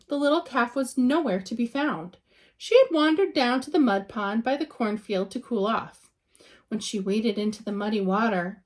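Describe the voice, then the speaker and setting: A woman reading a children's picture book aloud in an expressive storytelling style, with dramatic emphasis and drawn-out words. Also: a little high.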